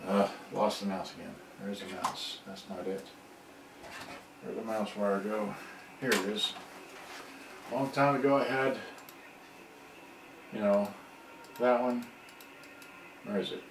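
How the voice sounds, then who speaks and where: A middle-aged man thinking aloud in a halting, rambling way during a casual live repair demonstration. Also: fairly low pitch, fairly even intonation. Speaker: hobbyist electronics repairer on a livestream. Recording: distant mic, echoey room, background noise.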